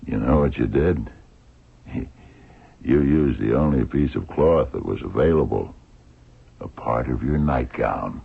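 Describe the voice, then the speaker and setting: An actor playing a shrewd, relentless detective in an old radio drama, probing with deliberate questions and pauses in a gravelly voice. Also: male voice, extremely low pitch, full character voice. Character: shrewd, blind male police detective, calmly relentless. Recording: muffled, narrow-band old radio recording.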